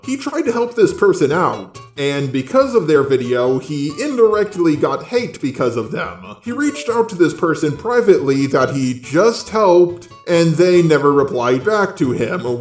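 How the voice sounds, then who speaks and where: A man's voice: sits high, a little mellow, roomy sound. A man giving scripted YouTube commentary in a blunt, sardonic way, with casual swearing. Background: background music.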